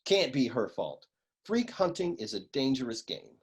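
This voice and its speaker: A man playing a flippant, self-important private eye with a deadpan manner in a comic detective radio play. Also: medium pitch, moderate pace, breathy edge. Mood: cocky. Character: flippant, dim-witted noir male private eye.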